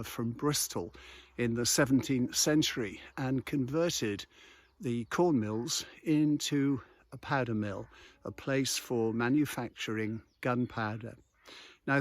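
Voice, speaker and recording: A man's voice: mid-range voice, dry recording. A man narrating an unscripted local history walk outdoors in a conversational, explanatory storytelling way. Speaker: local history walking presenter. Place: outdoors on a misty hillside road.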